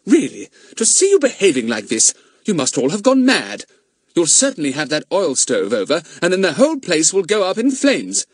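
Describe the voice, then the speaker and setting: A prim, impatient mother scolding her son, as a male narrator puts her on. The voice rises high and lively with exasperation. The sound is close and dry. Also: normal speed, breathy edge, dry recording, exaggerated voice. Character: fussy, impatient middle-aged mother.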